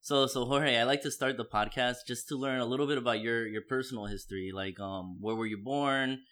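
A man hosting an interview podcast in a conversational style, with somewhat halting phrasing. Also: mid-range voice, steady tone, a bit bright.

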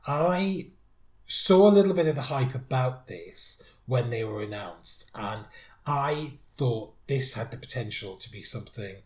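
A man's voice: mid-range voice, animated, a little slow, darkish tone. A man co-hosting a podcast, chatting thoughtfully about songs, with frequent restarts. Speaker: podcast co-host. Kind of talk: casual chat reviewing songs. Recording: roomy echo.

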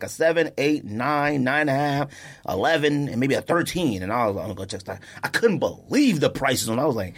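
An American man co-hosting a podcast, chatting casually and telling stories in a slangy, animated way. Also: mid-range voice, husky. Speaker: podcast co-host. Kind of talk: casual sneaker podcast chat.

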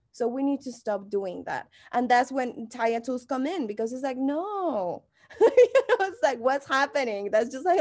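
A woman from Mexico with a Mexican Spanish accent, a guest on a podcast, talking casually in a perky, animated way. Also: sits high, no echo, clean studio sound. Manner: perky, animated, laughs often. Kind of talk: casual conversation.

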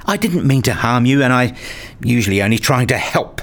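Casting: male actor as tired, exasperated husband and dad for radio drama, explanatory and placating, very animated pitch. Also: brisk, lightly acted. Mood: defensive, tired. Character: weary, exasperated husband and father, narrating his domestic life. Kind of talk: radio play dialogue and first-person narration.

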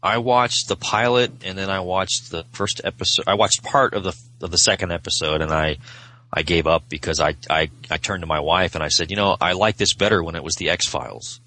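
Middle-aged American male, low and bright, wry casual podcast guest who doubles back on phrases. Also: fairly even intonation, a little fast. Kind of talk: casual chat.

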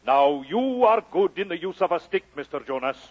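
An actor playing a courtly but menacing prizefighter, talking with deliberate mock courtesy in an old radio drama. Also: male voice, fairly high pitch, big pitch swings. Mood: mock-polite. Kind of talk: 1940s-50s radio drama acting. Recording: dull old radio recording.

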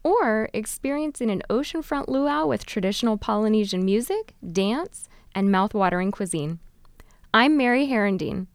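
A woman's voice: on the high side, animated, moderate pace, clean tone, no room sound, clean studio sound. A woman hosting a travel interview podcast, moving between scripted introduction and conversational questions. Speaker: podcast host.

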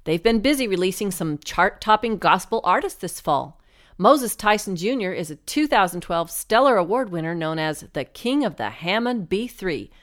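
A woman's voice: medium pitch, sing-song, normal speed, a bit bright. A woman announcer delivering a scripted advertising read for a radio show. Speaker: sponsor announcer.

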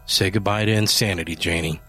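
A man voicing a calm, controlling husband who speaks in a measured, quiet tone. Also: deep voice, slightly rough, faint music behind, full character voice. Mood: cold calm.

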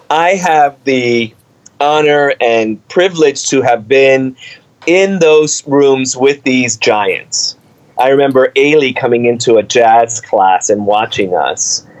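A man's voice: mid-range voice, poor audio. Middle-aged man telling his story. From Cuba. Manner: warm storytelling, animated, with frequent fillers. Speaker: artistic director of a dance company. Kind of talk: conversational interview answers.

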